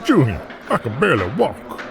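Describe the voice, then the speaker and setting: Casting: pleading, hurt old-hand wrestler, lively swooping voice, crowd and music underneath, audio drama. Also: male voice, medium pitch, normal speed, gravelly. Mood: earnest, defensive. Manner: earnest, pleading. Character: pleading injured veteran male wrestler.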